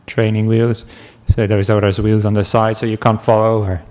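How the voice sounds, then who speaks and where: A man from the Netherlands with a Dutch accent, a meditation teacher giving a relaxed talk to a class, telling stories with asides and small laughs. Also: lowish voice, normal speed. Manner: gentle, chatty storytelling with asides and small laughs.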